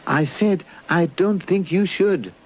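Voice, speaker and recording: A man's voice: high-pitched, takes it slowly, voice pushed up. A British man voicing a cautious, earnest toy inventor in a children's puppet story. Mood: worried, reluctant. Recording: dull lo-fi VHS tape transfer.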